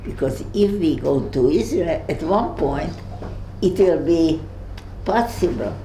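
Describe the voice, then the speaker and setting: An older woman with a Hungarian accent speaks slowly and low, pausing often and reaching for words as she tells her memories. Her voice is mellow and dark. The room gives it a noticeable echo, with faint ambience behind. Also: expressive, slight background noise. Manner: halting, searches for words, many fillers and restarts. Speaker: Holocaust survivor speaking to visitors. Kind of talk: recounting memories in conversation.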